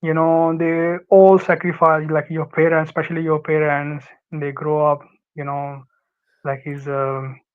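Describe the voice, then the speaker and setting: A young man from Pakistan with a Pakistani accent, Urdu his first language, giving halting advice as a guest with many fillers and restarts. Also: a little high, flat delivery, smooth, phone-quality sound. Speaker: guest, former student. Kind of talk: casual interview advice.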